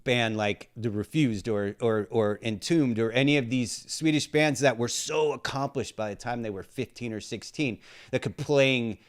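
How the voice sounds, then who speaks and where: Male podcast host, mid-pitched, rambling, chatty, curses casually. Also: normal speed. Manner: rambling, swearing, lots of fillers. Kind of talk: casual chat.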